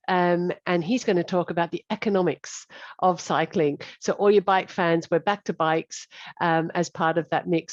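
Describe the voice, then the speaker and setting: A woman in her forties moderating an online panel, brisk and friendly, on a video call. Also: fairly low pitch, a little mellow, no echo. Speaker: session moderator. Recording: dry, muffled video call.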